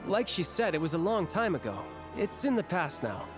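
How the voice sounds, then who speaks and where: A man's voice: high voice, very animated, normal speed. A man voicing an easygoing young warrior turned diplomat in an anime game, breezy, sincere and reassuring. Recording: music behind the voice.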